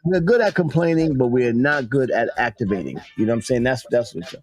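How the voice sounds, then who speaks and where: An animated man hosting a morning radio show, his voice swinging up and down as he riffs. The tone is slightly husky, and he stumbles over and repeats words as the banter runs on. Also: medium pitch, a little fast. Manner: animated, exclaiming, repeats himself.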